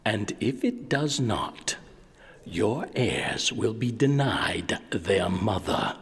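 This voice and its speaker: An actor playing a stern, demanding king in an audio drama, with a rough husky voice and a weighty, imperious manner. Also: on the low side, lively intonation, takes it slowly, in a large hall, heavily acted.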